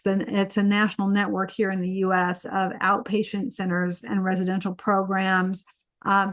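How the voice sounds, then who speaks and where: A woman's voice: mid-range voice, no room sound. Earnest woman podcast host, dry sound. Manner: earnest, explanatory, reads quotes aloud. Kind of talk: reflective podcast conversation.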